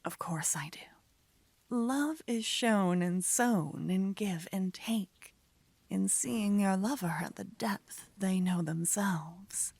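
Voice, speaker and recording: A woman voicing a lovestruck young female mage in a soft, breathy, often whispered voice, tenderly coaxing her lover in a romantic ASMR roleplay. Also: mid-range voice, no room sound, exaggerated voice. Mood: adoring.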